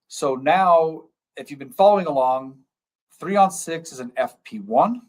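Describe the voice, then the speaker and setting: A man's voice: a little high, animated, a little slow. Casting: male dentist host, plain-spoken live-stream explainer who repeats terms. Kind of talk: casual explanatory chat.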